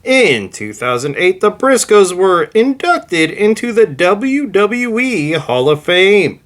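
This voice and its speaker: Slow, very animated male announcer. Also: high voice. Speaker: host reading encyclopedia entries. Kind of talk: scripted narration, read aloud.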